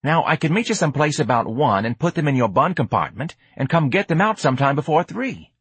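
Man putting on a high-pitched streetwise young hustler. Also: sing-song, brisk, a bit bright, husky, no room sound, heavily acted. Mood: wheedling. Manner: casual street slang, wheedling. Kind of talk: audiobook character acting.

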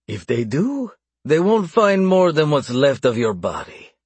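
A man voicing a sadistic crime boss in a dark thriller audiobook, mocking and menacing with a calm, slow delivery. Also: medium pitch, breathy edge, dry recording. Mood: threatening. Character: sadistic, taunting crime boss, chillingly calm older brother.

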